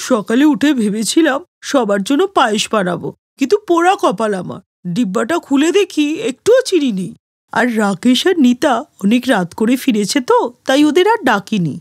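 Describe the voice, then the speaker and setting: A woman's voice: fairly high pitch, big pitch swings, moderate pace, dry recording, clean studio sound. A woman voicing a pushy older female neighbour in a cartoon story, wheedling and gushing as she begs for food. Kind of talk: animated moral story cartoon dialogue.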